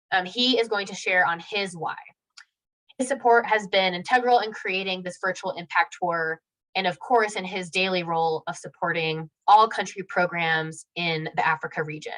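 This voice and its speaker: A woman's voice: lowish voice, fairly bright tone, low-quality recording. Woman hosting an online event. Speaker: event host introducing a speaker. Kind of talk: prepared remarks to an online audience.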